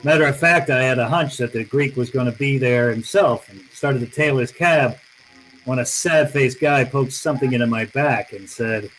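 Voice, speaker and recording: An actor playing a wry, tough, unflappable private detective, laconic and sardonic, in old-time radio style. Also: male voice, medium pitch, normal speed, noisy background, natural voice. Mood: dry, narrating. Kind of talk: old-time radio drama acting and first-person narration.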